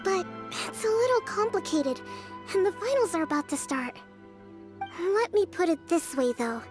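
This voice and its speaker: A woman playing a composed, gentle young priestess in a video game, polite and measured in her wording. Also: extremely high pitch, lively intonation. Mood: thoughtful. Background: music.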